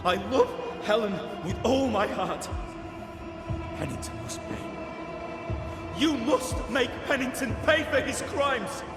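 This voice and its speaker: An anguished, self-righteous soldier's ghost, speaking slowly in a dramatic game style. The voice is on the high side for a male and rings with long reverb over clear music. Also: lively intonation, full character voice. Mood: desperate. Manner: halting, repeating words, dramatic. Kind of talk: dramatic video game acting. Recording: echoing hall-like reverb under music.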